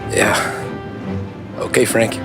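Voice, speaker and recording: An American actor playing an earnest, understated young mob driver in a cinematic 1930s crime game. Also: male voice, slow, over loud music, natural voice. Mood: uneasy. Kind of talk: naturalistic cinematic game acting.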